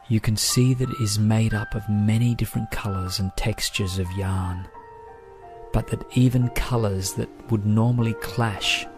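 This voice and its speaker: Slow, low-pitched male meditation guide over music. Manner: gentle, soothing, measured delivery.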